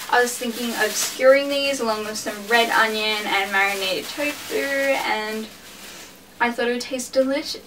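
A woman's voice: on the high side, far from the mic, background noise. A woman in her twenties chatting casually in a vlog from her bedroom, with lots of "like"s and offhand swearing. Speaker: vlogger. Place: small bedroom indoors.

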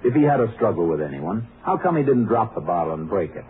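An actor playing a calm, skeptical Texas Ranger in a 1950s radio drama, speaking in a dry, measured, deadpan way. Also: male voice, on the low side, slight background noise. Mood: firm, skeptical. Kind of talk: 1950s radio drama acting, first-person narration and dialogue. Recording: muffled vintage radio recording with music.

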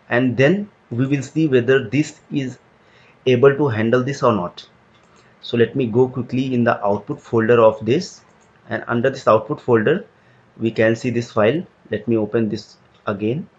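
A man at mid pitch talking through a software tutorial one step at a time, in a steady explaining manner. The audio is a bit dull and lo-fi, like a simple desktop mic. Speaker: tutorial instructor. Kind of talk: screen-recorded software tutorial.

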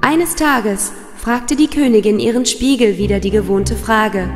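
A woman's voice: a little high, a little slow. Woman storyteller in long reverb. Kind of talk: scripted children's fairy-tale narration. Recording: long reverb, music behind.

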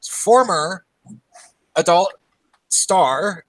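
A man's voice: sits high, lively intonation, very slow, a bit bright, dry recording, low-quality recording. A man in his thirties hosting a podcast, chatting casually and laughing often over a call. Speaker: podcast host. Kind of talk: casual chat.

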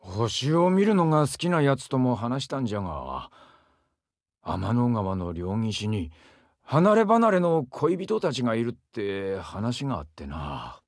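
An actor playing a brash, warm-hearted young seaman in a Japanese mobile game, with a southern Japanese dialect and a husky, gravelly, boisterous delivery. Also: mid-range voice, wildly swooping pitch, measured pace, dry recording, clean studio sound, exaggerated voice. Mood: affectionate, earnest. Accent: southern Japanese dialect, Kagoshima-like. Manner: boisterous, rustic, swinging from bashful murmurs to hearty shouts. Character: brash, warm-hearted young muscular seaman, eager big-brother type.

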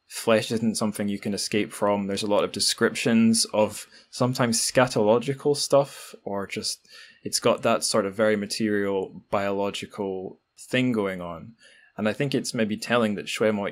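A man hosting a podcast, talking reflectively about literature and searching for his words as he goes. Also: on the low side. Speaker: podcast host. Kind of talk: thoughtful literary discussion.

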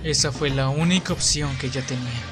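A man with a Latin American Spanish accent playing a cheeky, bitter young enforcer in an anime fan dub, with casual, expressive delivery. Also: mid-range voice, fairly quick, over music, lightly acted. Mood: bitter, intense. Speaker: amateur fandub voice actor.

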